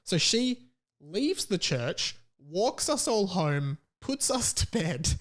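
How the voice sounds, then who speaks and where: A man's voice: sits high, sing-song, takes it slowly, a bit bright. Man telling a comic story, podcast style. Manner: casual swearing. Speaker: podcast host. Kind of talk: casual comedic storytelling.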